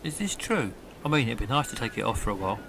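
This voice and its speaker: A man acts out a gullible, eccentric rocket inventor in an audio comedy. He is earnest and pedantic, with a gravelly mid-pitched voice, halting delivery and noisy outdoor ambience with geese behind. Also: sing-song. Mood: wistful.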